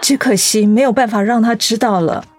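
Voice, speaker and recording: A woman's voice: a little high, a little fast, a little mellow, soft background music. A woman playing a determined, heartfelt middle-aged director in a radio drama, speaking earnestly. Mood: moved. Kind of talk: Mandarin radio drama acting.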